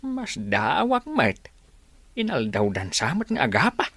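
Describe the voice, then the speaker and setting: A man playing a gentle, helpful and affectionate husband in an Ilocano comedy radio drama. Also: medium pitch, huge pitch swings, a little slow, slightly rough, dry recording, exaggerated voice.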